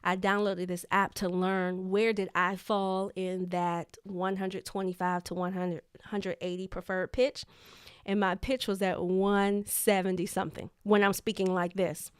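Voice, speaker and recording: Woman coaching with deliberate, repeated emphasis. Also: level tone, clean tone, no room sound, clean studio sound. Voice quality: naturally high voice brought down to a lower tone. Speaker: leadership coach. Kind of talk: casual talk to camera.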